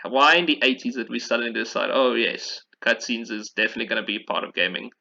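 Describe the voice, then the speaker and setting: A man's voice: mid-range voice, quick pace, creaky, sharp tone, muffled recording. A man hosting a casual discussion, explaining things in a rambling, informal way. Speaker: podcast host. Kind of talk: casual video game history discussion.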